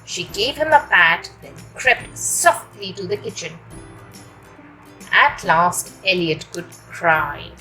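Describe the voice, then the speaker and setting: A woman reading a children's story aloud in an expressive, deliberate storytelling manner. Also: fairly low pitch, soft background music, poor audio. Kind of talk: animated storytelling for young children.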